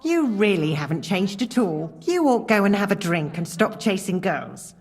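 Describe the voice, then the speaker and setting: A middle-aged castle cook in a medieval game. She is wry and no-nonsense but kind underneath, with a medium-pitched, very expressive voice. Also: normal speed, husky. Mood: nostalgic, chiding. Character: busy castle cook woman, sharp-tongued, wry, warm-hearted. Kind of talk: game cutscene dialogue.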